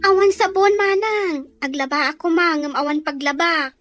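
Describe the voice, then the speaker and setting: A woman's voice: very high voice, moderate pace, fairly bright tone, no echo, faint music behind. A woman with an Ilocano accent playing a plaintive, practical younger sister in a Filipino radio drama. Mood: complaining, concerned.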